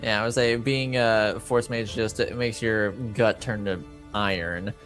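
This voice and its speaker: A man's voice: lowish voice, fairly even intonation, measured pace, fairly bright tone, soft background music. A man talking casually as a game master among friends, with a hesitant, wry manner.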